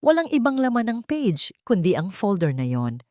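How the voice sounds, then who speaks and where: A woman narrating a dramatic scripted story in a suspenseful, deliberate way, in short phrases. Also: medium pitch, big pitch swings, warm tone, dry recording, clean studio sound. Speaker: narrator of a Tagalog audio drama.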